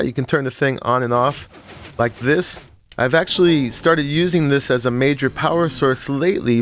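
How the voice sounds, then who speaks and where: A man with a slightly froggy morning voice, narrating a relaxed, rambling tour of his game world with many "uh"s and short laughs. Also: mid-range voice, a little hiss or hum. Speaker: Minecraft let's-play host. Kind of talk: casual gameplay tour narration.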